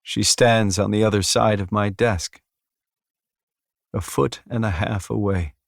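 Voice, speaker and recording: A man narrates a fantasy audiobook in a low voice with plenty of gravel. His reading is scripted and unhurried, captured in a very dry recording. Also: fairly even intonation, no echo.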